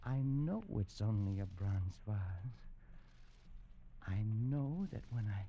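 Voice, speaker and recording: A haunted, frantic invalid. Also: male voice, on the low side, lively intonation, slow pace, creaky, highs cut off, a little hiss or hum, full character voice. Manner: anxious, swinging into frantic shouting. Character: feverish haunted man tormented by a vision. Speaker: lead character. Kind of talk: 1940s radio drama acting.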